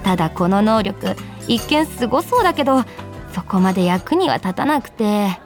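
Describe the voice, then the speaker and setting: A woman's voice: medium pitch, expressive, faint music behind, lightly acted. A woman playing a cheerful, self-deprecating young office worker with a secret power in a radio drama. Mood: wry, confiding. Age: 20s. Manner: bubbly, self-deprecating, quick to retort. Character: cheerful 24-year-old female office worker, secretly psychic. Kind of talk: radio drama acting and first-person narration.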